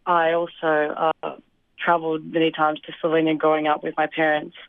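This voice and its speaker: A young woman from Australia answering interview questions in a thoughtful, hesitant way, with fillers and soft laughs. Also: low voice, completely flat delivery, moderate pace, no room sound. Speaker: interview guest. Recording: dry, phone-like line.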